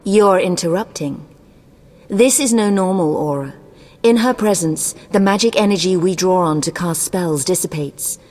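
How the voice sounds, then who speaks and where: Actress as cold imperious sorceress, lowish female voice, haughty formal delivery, fantasy game dialogue, long reverb. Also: normal speed, a little mellow, lightly acted. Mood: haughty, stern, then formal, clipped. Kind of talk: scripted video game dialogue.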